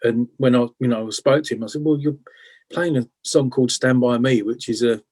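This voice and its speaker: Low-key middle-aged man, flat-toned and hesitant. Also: a little fast. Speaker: interview guest, guitarist. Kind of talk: casual chat.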